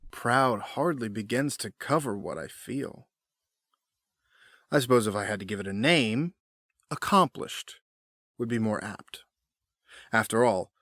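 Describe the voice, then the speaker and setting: Actor as middle-aged male villain, medium pitch, suave, condescending, formal diction, close dry audio drama recording. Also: a bit bright, no echo. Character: smug, urbane middle-aged male villain, powerful boss.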